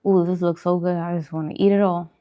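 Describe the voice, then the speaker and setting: A woman's voice: fairly low pitch, flat delivery, fast, muffled recording. A woman chatting casually on a livestream as host while she eats, informal and self-deprecating in her manner. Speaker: vlogger hosting a live mukbang stream.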